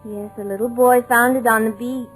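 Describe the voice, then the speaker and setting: Woman as a dazed housekeeper, dreamy, high voice, faint music behind. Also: fairly even intonation, moderate pace, close to an everyday voice, low-quality recording. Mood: eerily sure. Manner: dreamy, fixed belief. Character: dazed young housekeeper awaiting lost husband. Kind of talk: 1940s radio acting.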